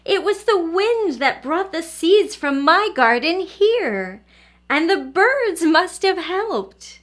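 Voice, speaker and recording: A woman voicing a gentle, determined elderly lady from a children's storybook, in an expressive, earnest way. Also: very high-pitched, slow, voice pushed up, heavily acted. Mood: delighted wonder. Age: older.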